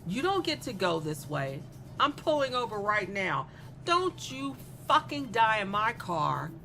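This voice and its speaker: Woman acting a taunting, flippant young female killer, animated delivery. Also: medium pitch, measured pace, soft background music, exaggerated voice. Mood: panicked, angry. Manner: mocking, conversational, sardonic, giggly asides. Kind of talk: dramatic monologue in an audio horror comedy.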